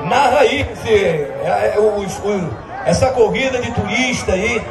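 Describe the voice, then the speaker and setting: A man with a very high voice barks names and orders at a noisy outdoor race, trading jokes with the announcer. Loud music and crowd noise echo around him. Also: animated, unhurried. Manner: bossy, shouting names, bantering. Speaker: event organizer calling up racers. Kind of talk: shouted crowd organizing and banter.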